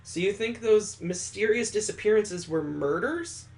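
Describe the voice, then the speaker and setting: A let's-player reads a detective's young son in a visual novel. He sounds earnest and hesitant, worried for his father. The pitch is middling. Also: expressive, measured pace, a little mellow.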